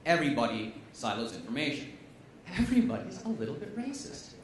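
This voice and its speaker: A man's voice: fairly high pitch, very animated, fairly quick, slight background noise. A man, a chemistry professor, giving a stand-up style science talk with wry, emphatic, punchy delivery. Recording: echoey room, voice from a distance.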